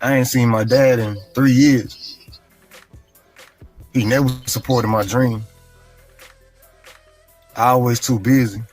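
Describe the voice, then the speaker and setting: A man's voice: mid-range voice, fairly even intonation, slow pace, long pauses, darkish tone, soft background music. A man speaking in a played interview clip, complaining bitterly in loose street slang. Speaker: interview guest.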